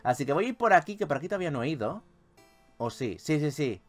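A man with a Spanish accent from Spain commentates on a video game in a lively, swooping, fairly high voice. He is playful and jokey, given to exclamations. Game music plays behind him. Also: fast talker. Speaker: gaming video host.

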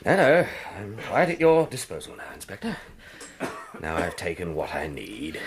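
An actor playing a flippant, hard-drinking young Victorian medical student in a radio drama, theatrical and mocking. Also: male voice, low voice, huge pitch swings, normal speed, crowd noise, heavily acted. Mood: flippant, cocky. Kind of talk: period radio drama acting.

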